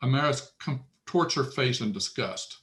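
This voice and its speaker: A man's voice: medium pitch, animated, measured pace, fairly bright tone. A man narrating stage directions matter-of-factly at a screenplay table read.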